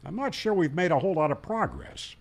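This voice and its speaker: A man with a fairly high voice and a very lively, swinging intonation. He talks in a folksy, conversational style, like a channel host explaining his hobby and telling stories along the way. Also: fairly quick, gravelly, husky. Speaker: ham radio channel host. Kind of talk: explanatory storytelling to camera.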